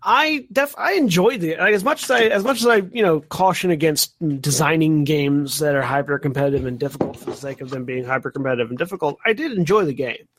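A man hosting a casual board game review podcast, speaking haltingly and often repeating his words as he goes. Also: a little high, fairly bright tone, no echo. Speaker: podcast host.

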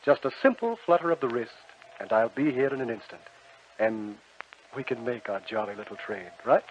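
Man doing a sly devil salesman, wheedling, swooping lively delivery, muffled vintage radio, faint hiss. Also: medium pitch, moderate pace, lightly acted. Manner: smooth, wheedling sales patter. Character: suave smiling devil, a soul-trading salesman. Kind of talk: 1940s radio acting. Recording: dull old radio broadcast recording, faint hiss.

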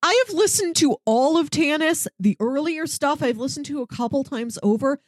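A woman's voice: sits high, animated, normal speed, no echo, studio quality. A woman co-hosting a podcast, chatting casually and enthusiastically as she recommends things in a discursive, conversational way. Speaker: podcast co-host. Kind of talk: casual review chat, recommending audio dramas.

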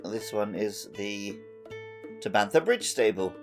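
A man's voice: lowish voice, animated, unhurried, creaky, faint music behind. A man co-hosting a gaming podcast, bantering casually in a wry, joking manner. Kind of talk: casual banter about a video game.

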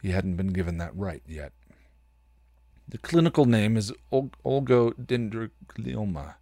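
A man reading fan fiction aloud as a podcast host, in expressive story narration. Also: fairly low pitch, unhurried, dry recording, clean studio sound.

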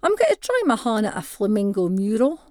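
A woman's voice: medium pitch, wildly swooping pitch, fairly quick, darkish tone, dry recording, clean studio sound. Woman reading as a proud, soppy Scottish dad. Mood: cheerful. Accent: North-East Scottish (Doric).

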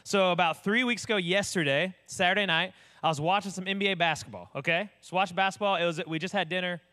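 A young man, an associate pastor with a booming voice, telling stories in a casual, animated sermon. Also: fast. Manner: animated storyteller, acts out voices.

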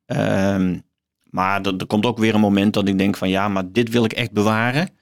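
A man talking as an interview guest, haltingly, repeating words as he searches for his phrasing. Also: lowish voice, little pitch movement. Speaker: guest, philosophy professor. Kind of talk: conversational book interview.

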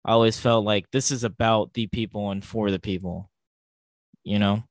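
A man's voice: lowish voice, fairly even intonation, moderate pace, no echo. A man co-hosting a podcast in casual discussion, thinking aloud and often hesitating or correcting himself. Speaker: podcast co-host.

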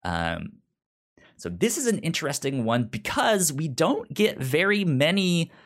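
A man's voice: a little high, very animated, a little slow, a bit bright. A man hosting a podcast in a casual, chatty style, with a stutter that repeats sounds and syllables. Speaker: podcast host.

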